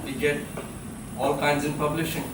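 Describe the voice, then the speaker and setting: A man's voice: a little high, flat delivery, moderate pace, close to an everyday voice. A male stage actor playing a polite, easily rattled married publishing man, speaking in a hesitant, protesting way. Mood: politely uneasy. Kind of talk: live stage drama acting. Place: indoor theatre stage, recorded from a distance. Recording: echoey theatre, distant mic, noisy ambience.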